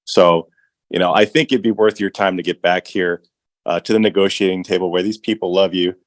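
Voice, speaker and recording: A man's voice: low-pitched, steady tone, fairly quick, dry recording. A man calling in to a public meeting as a local resident, speaking conversationally and often saying "you know". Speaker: resident caller at public comment. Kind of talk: casual spoken public comment. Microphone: remote call audio.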